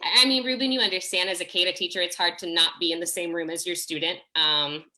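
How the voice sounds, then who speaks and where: A woman's voice: fairly low pitch, steady tone, quick pace, pushed and bright. A woman speaking as a play director in a casual panel chat, effusive and emphatic.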